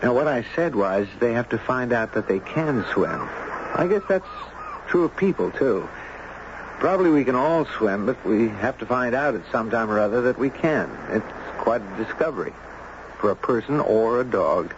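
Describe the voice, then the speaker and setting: A man's voice: medium pitch, animated, normal speed, lightly acted. An actor playing a curious, earnest man in an old radio drama, musing and hesitant as he recalls days on the beach with his dog. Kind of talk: old radio drama acting and first-person narration. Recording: noisy, dull old radio broadcast recording.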